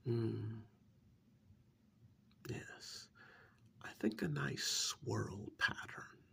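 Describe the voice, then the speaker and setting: A man playing a calm, menacing killer in a soft-spoken ASMR horror role-play, hushed and deliberate, with a condescending, musing manner. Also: fairly low pitch, exaggerated voice. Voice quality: breathy half-whisper with gravelly creak. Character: calm, menacing male killer who preserves victims as art.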